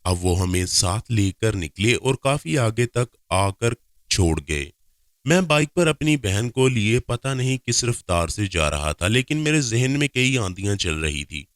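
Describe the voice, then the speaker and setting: A man's voice: fairly low pitch, fairly bright tone, no echo, studio quality. A man reading a scripted first-person story aloud as a narrator.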